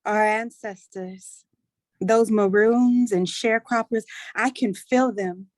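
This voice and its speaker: Stage drama reading: a woman plays a grown daughter, gentle and pleading with her elder. Her voice sits at a middle pitch and is recorded dry. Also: steady tone, slow, no echo, natural voice. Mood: earnest, hopeful.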